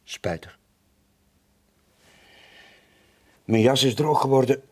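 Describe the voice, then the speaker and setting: An actor playing a weary, lonely sailor in his late forties, gentle but evasive, with a husky voice and a subdued, hesitant manner in a radio drama. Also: mid-range voice, lively intonation, normal speed. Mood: resigned. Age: late 40s.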